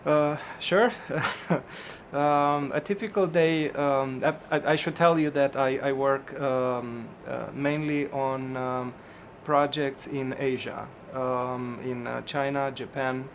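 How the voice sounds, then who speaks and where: A middle-aged physician from Romania, answering interview questions in a hesitant way, with many ums and restarts. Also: male voice, mid-range voice, fairly even intonation, slow, a little hiss or hum.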